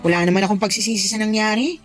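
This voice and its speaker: A woman's voice: mid-range voice, steady tone, very fast, lightly acted. An actress playing a blunt, teasing, practical working mother in a Filipino radio drama, frank and wry, with a Filipino accent. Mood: frank, earnest. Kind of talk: Tagalog radio drama acting. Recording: music bed behind the dialogue.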